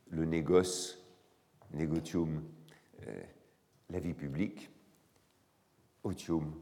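A man with a cold lecturing in a hall, his voice hoarse, his speech halting with frequent pauses and fillers. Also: a bass voice, mellow. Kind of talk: academic lecture.

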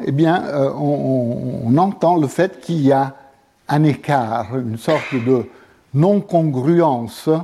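A middle-aged man whose first language is Italian, lecturing with frequent pauses and hesitations. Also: mid-range voice, warm tone, breathy edge. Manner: hesitant, many pauses and fillers. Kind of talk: university lecture on linguistics.

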